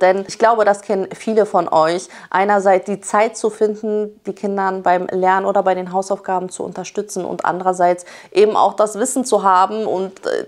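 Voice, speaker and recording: Woman, low voice, upbeat ad read for her vlog. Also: darkish tone. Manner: upbeat, enthusiastic. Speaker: vlogger, parent. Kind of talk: scripted sponsor read.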